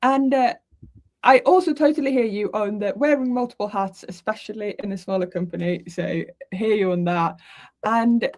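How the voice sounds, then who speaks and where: A woman's voice: mid-range voice, moderate pace, darkish tone. A woman from Sweden hosting a casual live interview in a chatty, conversational way. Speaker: livestream host.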